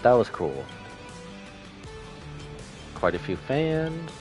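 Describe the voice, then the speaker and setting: A man's voice: mid-range voice, big pitch swings, extremely slow, music in the background. A man giving casual, slangy live reaction commentary as a game streamer. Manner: slangy, informal.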